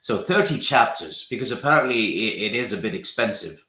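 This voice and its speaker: A man's voice: lowish voice, expressive, brisk, pushed and bright, roomy sound. A young man from Pakistan with a well-traveled British accent, chatting as a guest in an interview, hesitant with many restarts. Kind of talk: casual interview chat.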